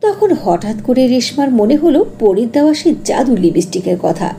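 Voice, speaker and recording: A woman narrates a cartoon fairy tale in a fairly high, very animated voice. Her tone is mellow, and there is faint noise behind her. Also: normal speed.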